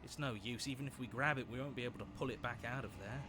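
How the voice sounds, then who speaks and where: A man acting in a horror visual novel, delivering dramatic, deliberate scripted lines in literary phrasing. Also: medium pitch, noisy background, natural voice. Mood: urgent. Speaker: game narrator. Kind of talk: dramatic scripted game narration.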